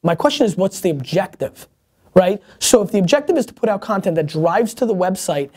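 A man's voice: high-pitched, animated, a little mellow. A man in his thirties hosting a casual advice show, answering viewer questions bluntly and emphatically from a seated spot in an open-plan office. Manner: emphatic, fast, blunt, gestures a lot.

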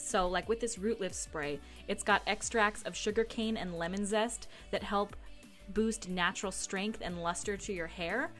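A woman's voice: mid-range voice, fairly bright tone. A young woman, giving a casual, laid-back tutorial voice-over as a beauty vlogger. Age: young adult. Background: background music.

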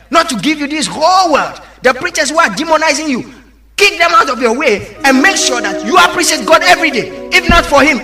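Guy preaching a fire and brimstone sermon, really high voice, urging people hard, music behind him. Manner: exhorting, emphatic, with rhetorical questions. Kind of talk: fiery evangelical sermon.

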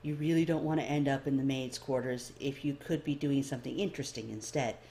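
A woman reading fan fiction aloud as expressive scripted story narration. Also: rumbling low, brisk, a little hiss or hum. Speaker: amateur fan fiction reader.

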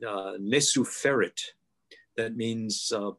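An older man on a video call with a headset mic, explaining things thoughtfully with many pauses and fillers. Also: fairly low pitch, fairly bright tone. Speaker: guest author. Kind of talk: online panel discussion. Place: home room, video call.

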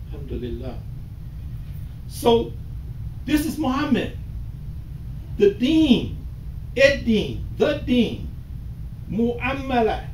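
An older man giving a sermon in a small mosque, slow and deliberate, rising to emphatic calls. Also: extremely high pitch, darkish tone. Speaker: imam. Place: small mosque hall with bookshelves, indoors. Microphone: far camera at the back of the room. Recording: echoey room from far off with hiss.

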